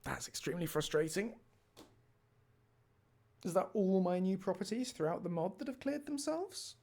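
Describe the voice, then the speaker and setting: Creaky-voiced man thinking aloud on a livestream. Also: sits high, lively intonation, normal speed, a little mellow. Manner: thinking aloud, muttering to himself. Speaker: game mod developer and livestream host. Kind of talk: casual livestream talk while troubleshooting.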